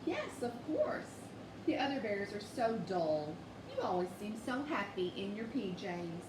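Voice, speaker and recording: A woman voicing a kindly, admiring female Arctic fox in a storybook, gentle and reassuring. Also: mid-range voice, very animated, a little slow, reverberant, recorded from a distance, noisy background.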